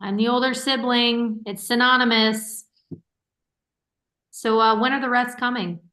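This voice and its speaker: A woman's voice: on the high side, fairly even intonation, unhurried, bright, forceful tone. A young woman playing a teasing, affectionate older sister with a dry, casual manner in a staged reading of a play.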